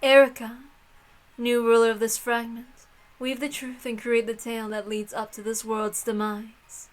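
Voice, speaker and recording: A woman's voice: on the high side, level tone, moderate pace, close to an everyday voice. A woman playing a haughty, amused, cruel witch lady in a visual novel read-aloud, with a grand, commanding, theatrical delivery.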